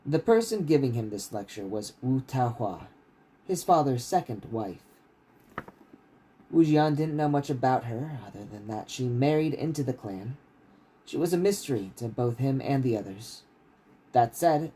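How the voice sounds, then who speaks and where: A man's voice: mid-range voice, mellow, husky, rough recording. A man who reads his own fantasy writing aloud like a bedtime story on a casual livestream. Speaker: author reading own novel aloud.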